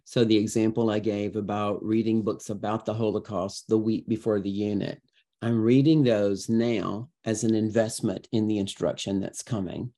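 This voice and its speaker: Mellow, low-voiced man explaining expressively. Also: normal speed. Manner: expressive storyteller, emphatic, illustrative. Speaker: guest, author and educator. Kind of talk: conversational interview answers with acted-out examples.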